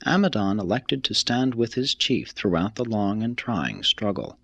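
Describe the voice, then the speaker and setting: A man reading a religious text aloud as scripted narration, in a solemn, measured, formal manner. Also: fairly low pitch, sing-song, gravelly, fairly bright tone, breathy edge, dry recording. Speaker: audiobook reader.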